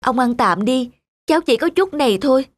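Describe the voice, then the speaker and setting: A woman's voice: high voice, lively intonation, fairly quick, no room sound, pitched above the performer's own voice. A woman voicing a meek, kind young servant woman, polite and plaintive, in a cartoon fairy tale. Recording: music under the voice.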